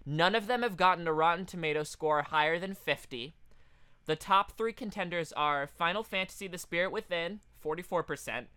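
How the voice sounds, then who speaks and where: A man's voice: fairly high pitch, normal speed, sharp tone, clear, resonant tone, no room sound. A man co-hosting a podcast in casual comedic chat, profane and riffing as he goes. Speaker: podcast co-host.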